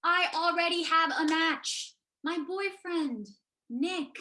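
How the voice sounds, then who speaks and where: Woman acting a bright, exasperated thirty-year-old daughter. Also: very high-pitched, slow, voice pushed up, full character voice. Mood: frustrated, pleading. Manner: emphatic, indignant. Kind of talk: staged reading over video call.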